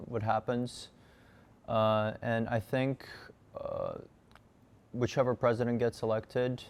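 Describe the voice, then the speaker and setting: A young man from Russia, a student panelist, answering in a measured, thoughtful way with some hesitations. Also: lowish voice, clean tone. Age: young adult.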